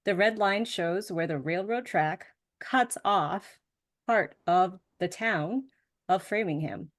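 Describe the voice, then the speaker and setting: A middle-aged woman, a Spanish speaker, giving a prepared talk in a measured storytelling way. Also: on the low side. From United States. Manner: measured storytelling, some fillers.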